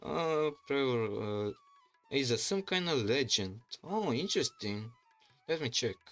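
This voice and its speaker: A man from Bulgaria with a Bulgarian accent, chatting casually on a livestream in halting, rambling English full of restarts. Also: medium pitch, big pitch swings, fairly bright tone, faint music behind. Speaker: streamer and YouTuber. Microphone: desk microphone.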